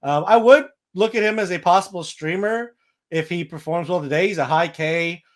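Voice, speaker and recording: A man's voice: high-pitched, moderate pace. A man hosting a sports podcast, giving casual, matter-of-fact baseball analysis.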